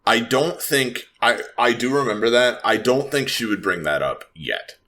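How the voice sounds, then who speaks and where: A man playing a tabletop roleplaying game, casually thinking aloud in a tentative, halting way and repeating words. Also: medium pitch, animated, bright, forceful tone. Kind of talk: casual improvised game talk.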